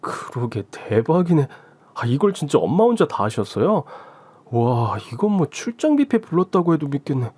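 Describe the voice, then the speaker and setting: A man's voice: a little high, sing-song, moderate pace, a little mellow, slightly rough. A man playing a teasing, mock-jealous middle-aged husband in a radio story, with casual grumbling banter. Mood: impressed, teasing. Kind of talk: Korean radio story acting.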